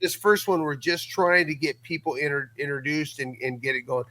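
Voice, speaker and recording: A man's voice: fairly high pitch, fairly even intonation, moderate pace, sharp tone, no room sound, low-quality recording. A man chatting casually as a podcast guest, enthusiastic and emphatic, often stammering and repeating words as he goes. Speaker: podcast guest, co-creator of an audio drama.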